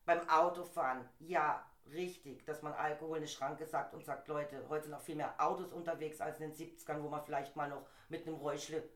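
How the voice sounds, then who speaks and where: Middle-aged woman, bit hoarse from a cold, chatty and opinionated, echoey room. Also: low voice, fairly even intonation, fairly quick, hardly any pauses, a bit bright. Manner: chatty, opinionated, laughs easily. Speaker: podcast co-host presenting a topic. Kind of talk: casual opinion chat.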